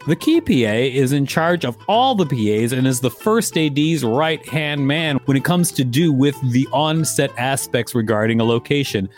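A man's voice: medium pitch, animated, normal speed, few pauses, soft background music. A man reading an article aloud as a host, with casual asides.

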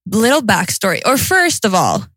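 A woman's voice: mid-range voice, big pitch swings, normal speed, a bit bright, dry recording, studio quality. A young woman with a Norwegian accent, chatting as a podcast co-host in an informal, sweary, self-deprecating way. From Norway. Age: young adult. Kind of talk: casual podcast chat.

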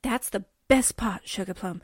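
An actress playing a breezy, playful young gal with slangy talk in 1940s-style radio drama. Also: female voice, medium pitch, normal speed, slightly rough, no room sound, studio quality, close to an everyday voice. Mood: proud, teasing. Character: breezy, man-hungry young gal, reporter's chummy sidekick.

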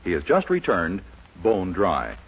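A man's voice: low voice, huge pitch swings, measured pace. An American man announcing in a scripted 1940s radio style, with emphatic, polished salesmanship. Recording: muffled, rough 1940s radio recording.

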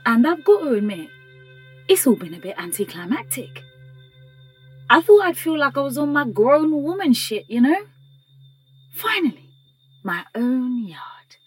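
A woman's voice: high voice, a little slow, pauses often, husky, soft background music, full character voice. A woman playing an anxious, wry young woman in an audio drama monologue, speaking candidly and conversationally in a London British accent. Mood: wry, animated. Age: young adult. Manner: candid, self-mocking, sweary, animated.

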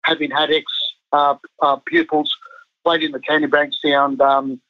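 A middle-aged man from Chile talks through his rugby coaching life in loose, rambling sentences, stopping often for ums and restarts. His voice sits a little high for a man. The sound is muffled and lo-fi, with a very dry, close feel. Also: sing-song, moderate pace, no echo. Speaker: national team head coach. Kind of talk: casual interview chat.

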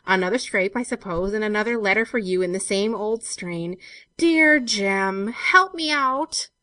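A woman reading a story aloud, voicing an affectionate, nervy young woman in love with a light, expressive delivery. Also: medium pitch, no room sound, lightly acted. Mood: teasing, curious. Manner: light, expressive, quick to startle.

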